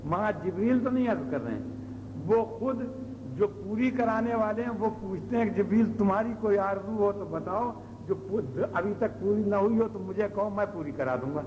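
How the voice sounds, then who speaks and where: Guy giving an islamic sermon, kinda high voice, repeats points, big reverb on an old tape. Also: normal speed, darkish tone. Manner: explanatory, with rhetorical questions and repetition. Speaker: Islamic preacher. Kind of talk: sermon, explaining religious stories. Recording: old noisy cassette, long hall reverb.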